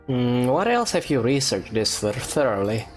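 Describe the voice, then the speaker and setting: A woman's voice: extremely low pitch, big pitch swings, normal speed. A woman giving casual gameplay commentary, reacting aloud in a wry way. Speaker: game streamer, player. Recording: faint game music behind.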